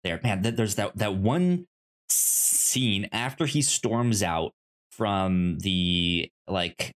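A man's voice: fairly low pitch, lively intonation, very slow. A man co-hosting a podcast in casual chat about film, stammering and repeating words as he goes. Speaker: podcast co-host.

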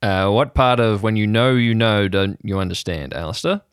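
A man plays a doomed old sailor in an improvised audio drama. He is gruff and curt, stubbornly set on his grim course, with a low voice recorded very dry. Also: normal speed, no echo, close to an everyday voice. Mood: curt, dismissive. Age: older. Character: stubborn, nihilistic old sailor uncle, bent on self-destruction.

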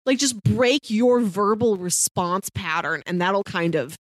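A woman's voice: medium pitch, a little slow, no echo, studio quality. A woman co-hosting a podcast, casually discussing a TV series in conversation. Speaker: podcast co-host.

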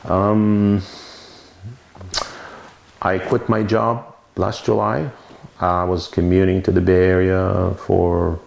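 A man's voice: low voice, little pitch movement, slow pace, gravelly, pauses often, a little mellow. A man, telling his story in a rambling, self-correcting way in an oral history interview at a winery. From Lebanon. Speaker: winemaker interviewee. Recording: roomy recording with faint noise.

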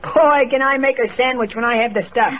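A man's voice: extremely high pitch, fairly quick. A boyish, bumbling family man who stammers and exclaims eagerly. It is old radio comedy acting, slightly muffled with faint background noise. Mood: excitable, hapless.

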